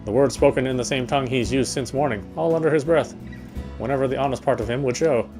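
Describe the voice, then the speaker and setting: A man's voice: medium pitch, quick pace, over music. A man reading first-person visual novel text aloud as narration, stumbling now and then over words.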